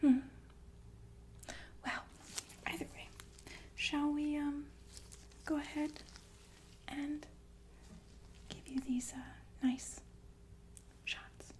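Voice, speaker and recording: Sinister ASMR nurse , acted by a woman: high-pitched, whispered, halting, soothing on the surface, casually menacing. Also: flat delivery, a little mellow, low-quality recording. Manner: slow, halting, casually polite about menace. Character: softly menacing killer nurse, falsely soothing. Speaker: ASMR performer. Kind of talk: whispered ASMR roleplay, addressed to listener.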